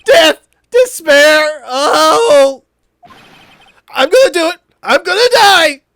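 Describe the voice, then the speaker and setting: Hysterical, wailing young man, shrill and loud. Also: expressive, takes it slowly, no echo, heavily acted. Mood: hysterical despair. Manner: wailing, shouting melodramatically. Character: panicked, despairing male defendant, melodramatic young friend.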